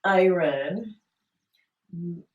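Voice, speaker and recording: Woman host, candid storyteller, drawn-out delivery, mic set back in an echoey room. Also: lowish voice, a little mellow, lo-fi sound. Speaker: podcast host. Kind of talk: casual chat. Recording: roomy echo, distant mic.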